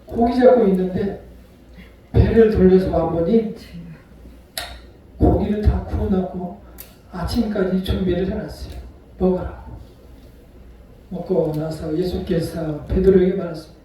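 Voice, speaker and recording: A male pastor preaching a sermon, retelling a story with acted-out voices and sharp swings between loud and soft. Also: high voice, fairly even intonation, unhurried, long pauses, a little hiss or hum. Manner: storytelling, acts out quoted voices. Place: church sanctuary. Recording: echoey hall from far off.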